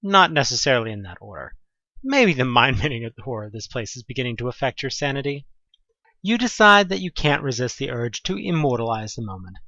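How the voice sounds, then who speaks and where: A man narrating a horror audio play in the second person, with an expressive, suspenseful delivery. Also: medium pitch, brisk, no echo, dull audio.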